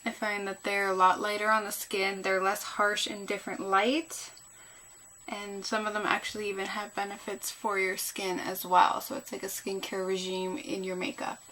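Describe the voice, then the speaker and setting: A woman's voice: medium pitch, completely flat delivery, normal speed, bright, forceful tone, slight background noise. A woman chatting casually through a makeup tutorial as a beauty vlogger, sounding a little groggy and tired. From Canada. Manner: chatty, rambling.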